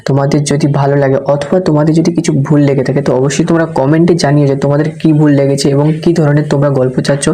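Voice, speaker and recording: A man's voice: fairly high pitch, flat delivery, racing, hardly any pauses, warm tone. A man hosting a channel, informally addressing viewers. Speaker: channel host.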